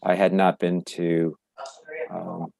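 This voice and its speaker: A man chairing a council meeting, halting with many fillers and restarts, losing his voice. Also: low voice, completely flat delivery, gravelly, darkish tone, no room sound. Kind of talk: virtual public meeting.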